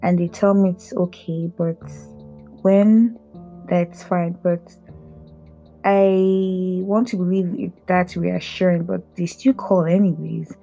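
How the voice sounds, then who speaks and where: A woman from Africa who stutters, hosting a candid personal podcast, talking in a halting way with frequent fillers and restarts. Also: on the low side, fairly even intonation, gravelly, warm tone, faint music behind, low-quality recording. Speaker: podcast host.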